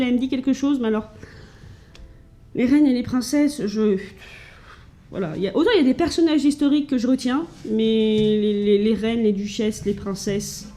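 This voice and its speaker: Chatty French woman with a warm, mellow voice. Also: a little high. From France. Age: adult with children. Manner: chatty, hesitant, lots of fillers and restarts. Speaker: vlogger showing thrift finds. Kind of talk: casual chat to camera. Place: at home.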